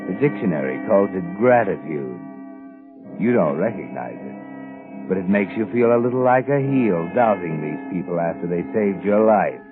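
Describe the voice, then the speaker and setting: Creepy all-knowing suspense narrator, lowish taunting voice, old radio sound w/ music behind. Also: male voice, animated, moderate pace. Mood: mocking. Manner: mocking second-person address. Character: sinister, taunting omniscient male narrator, ominous and knowing. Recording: muffled 1940s radio recording with music.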